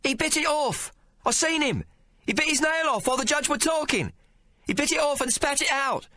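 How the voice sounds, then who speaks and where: Shrill street urchin. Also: male voice, moderate pace, no echo, clean studio sound, full character voice. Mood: shouting excitedly. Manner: shrill, excited shouting. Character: cheeky street urchin boy, cocky and streetwise.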